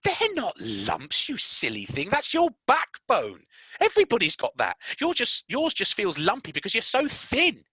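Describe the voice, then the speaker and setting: A storyteller voicing a proud, quick-tempered young girl with a high, clipped, indignant voice. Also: huge pitch swings, sharp tone, breathy edge, no room sound, heavily acted. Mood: bossy. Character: haughty, sharp-tempered young girl, Mary.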